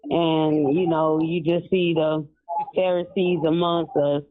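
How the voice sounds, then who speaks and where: A woman from California calling in to a radio show, speaking haltingly with lots of fillers. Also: low-pitched, little pitch movement. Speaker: caller. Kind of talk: phoned-in comment. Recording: tinny phone line.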